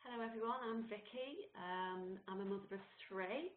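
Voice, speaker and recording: A woman's voice: mid-range voice, moderate pace. Heartfelt mother speaking on a webinar. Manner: heartfelt, personal. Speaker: parent partner, charity worker. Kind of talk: webinar co-presentation. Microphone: computer mic on a web call. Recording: echoey tinny online call audio.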